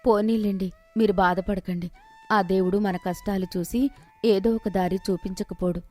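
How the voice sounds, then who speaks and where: A woman's voice: mid-range voice, fairly even intonation, normal speed, dark tone, soft background music, natural voice. A woman voicing a gardener's poor, long-suffering village wife in a story, plaintive and outspoken. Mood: consoling.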